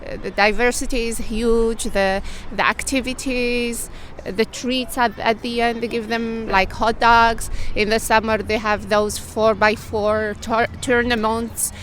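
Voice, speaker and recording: A woman's voice: fairly high pitch, little pitch movement, unhurried, a bit bright, a little hiss or hum. A mother from Morocco, speaking animatedly and fondly about the program outdoors. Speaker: parent. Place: outdoor soccer practice.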